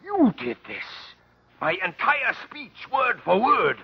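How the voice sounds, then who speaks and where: A haughty male professor in an old radio play. He blusters and cuts people down imperiously, his husky voice swinging widely in pitch on a dull, lo-fi recording. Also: wildly swooping pitch, takes it slowly, full character voice. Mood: furious, contemptuous. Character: haughty, irascible male academic, furious and contemptuous. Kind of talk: old radio drama acting. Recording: dull lo-fi vintage radio drama.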